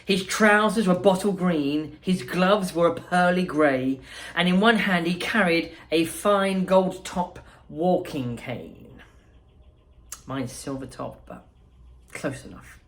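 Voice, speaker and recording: Woman, storybook reading, slightly low pitch, slow pace, expressive, pauses and asides. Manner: unhurried, expressive, pausing. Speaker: school principal reading aloud.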